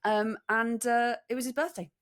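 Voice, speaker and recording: A British woman co-hosting a podcast in casual chat, telling stories in an animated, teasing way. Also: fairly high pitch, moderate pace. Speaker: podcast co-host. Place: room in central London.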